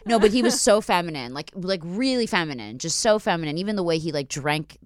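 A young woman with a deep, gruff voice hosting a podcast, telling stories in casual chat and acting out quoted voices. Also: brisk, no room sound, studio quality. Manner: animated storytelling, acts out quotes in put-on voices. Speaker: podcast host.

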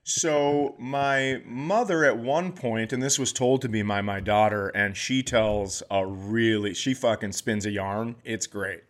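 A man's voice: fairly low pitch, a little slow, hardly any pauses. Male comedian telling casual, profane anecdotes. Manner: profane, animated anecdotes with acted-out quotes. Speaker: podcast host, comedian. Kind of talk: casual comic storytelling.

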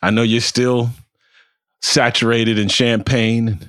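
A man's voice: mid-range voice, little pitch movement, takes it slowly, fairly bright tone, smooth, no room sound. A man hosting a casual sports podcast, riffing in a slangy, profane, conversational way. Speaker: podcast host.

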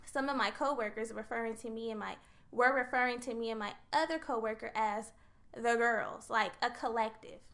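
Gravelly, bright-voiced young woman. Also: on the high side. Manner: earnest, makes her case point by point. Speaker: podcast co-host. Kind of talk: casual chat.

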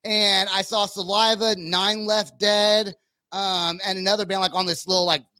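A man's voice: high voice, little pitch movement, moderate pace, pushed and bright, dry recording. A man from California hosting a casual rock podcast, talking in a slangy, profane, informal way. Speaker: podcast host.

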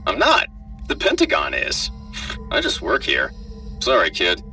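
A man's voice: sits low, wildly swooping pitch, unhurried, vocal fry, bright, forceful tone, recorded from a distance, faint music behind, pitched above the performer's own voice, exaggerated voice. A man voicing a callous, flippant government doctor in a hazmat suit, with a robotic, matter-of-fact delivery in a horror story. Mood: smug. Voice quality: robotic, muffled through a suit. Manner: matter-of-fact, darkly joking.

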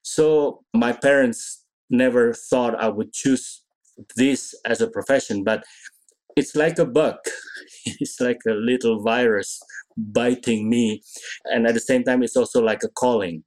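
A middle-aged man from Indonesia, an orchestra conductor, answering interview questions thoughtfully and pausing often to find his words. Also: medium pitch, fairly even intonation, darkish tone, poor audio. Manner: thoughtful, searching for words, many pauses.

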